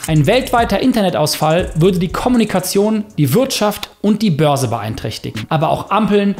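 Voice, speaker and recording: A man's voice: high-pitched, darkish tone. A young German man vlogging a week offline, narrating candidly and reflectively in voice-over and to camera. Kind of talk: self-experiment vlog with voice-over narration.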